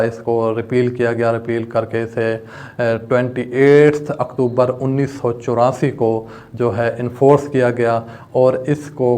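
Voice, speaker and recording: A man's voice: medium pitch, darkish tone. Male online law lecturer, Pakistani accent, mid-range voice with little melody, step-by-step teaching. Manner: addresses students directly, explains step by step. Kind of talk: instructional lecture mixing in English legal terms.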